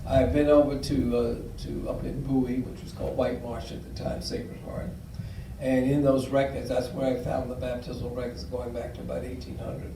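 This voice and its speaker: A man's voice: mid-range voice, little pitch movement, normal speed, gravelly. An older man giving a public talk and taking audience questions. He rambles as he tells stories, with frequent fillers. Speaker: guest speaker. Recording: echoey room, distant mic, faint noise.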